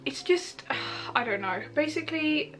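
A woman's voice: high-pitched, expressive, measured pace. A young woman in her bedroom, chatting and gushing about games she loves, her nose stuffy from a cold, with fillers and restarts. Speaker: YouTuber. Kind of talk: casual game recommendations. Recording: music under the voice. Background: soft background music.

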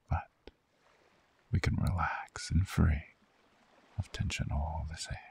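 Man playing a very deep, whispering vampire lover. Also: mellow, full character voice. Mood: soothing, tender. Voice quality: breathy, half-whispered, gravelly. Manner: slow, soothing, hypnotic, long pauses. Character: seductive, soothing male vampire lover. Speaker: ASMR voice actor. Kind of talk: ASMR hypnosis sleep-aid roleplay.

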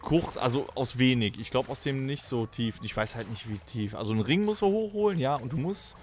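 A man with a mid-pitched, highly animated voice. He banters casually as a podcast co-host, joking and teasing his companions. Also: fairly quick.

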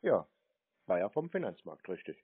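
A man on a prank phone call, acting obtuse with deadpan short replies. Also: low-pitched, normal speed, breathy edge. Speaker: prank scambaiter. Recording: muffled narrow phone-like sound.

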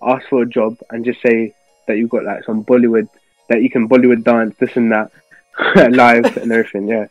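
A man's voice: mid-range voice, level tone, moderate pace, no room sound, lo-fi sound, faint music behind. A young man in casual banter, coaching his friend through pranks. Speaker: host's friend suggesting pranks.

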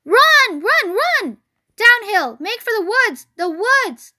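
A woman voicing a fast-talking female goose who repeats her words three times. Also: very high-pitched, sing-song, fairly bright tone, pitched above the performer's own voice, heavily acted. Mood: shouting.

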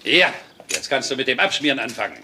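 An actor in a German sitcom dub playing an easygoing working-class dad, casually coaxing and jovially giving instructions. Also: male voice, mid-range voice, expressive, quick pace, lightly acted. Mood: instructing. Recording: loud background noise, clicks.

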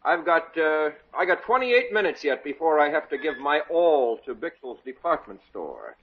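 An actor playing a put-upon, excitable young store clerk and boyfriend in 1940s radio comedy style. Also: male voice, fairly high pitch, moderate pace, slightly rough, full character voice. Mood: groggy, irritated. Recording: dull old radio recording.

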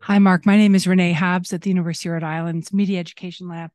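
A woman from the audience asking a question at a conference, quick and articulate. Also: on the low side, little pitch movement, darkish tone, no echo, clean studio sound.

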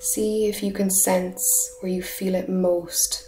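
A woman leading a guided meditation in a soft, soothing, breathy voice, with short unhurried phrases. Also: on the low side. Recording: roomy echo, steady humming background noise. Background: humming sound bath tones.

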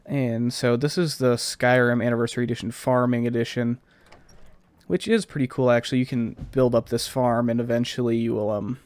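A man's voice: medium pitch, a little mellow, no echo. A man with a slightly stuffed-up, scratchy voice giving casual, rambling gameplay commentary as a let's play host.

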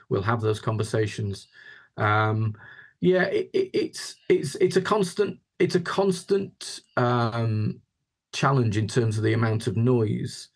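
Man in his fifties, lowish pitch, hesitant and repetitive phrasing, executive interview guest, video call. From Yorkshire, England. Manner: hesitant, often repeats words. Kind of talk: reflective interview talk.

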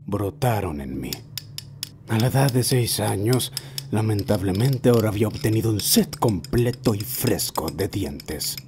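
A man voicing an obsessive old man who recounts his lifelong fixation in a solemn, deliberate horror narration. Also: fairly low pitch, animated, background noise. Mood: brooding, solemn. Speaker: amateur narrator of a horror short. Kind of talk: scripted first-person horror narration.

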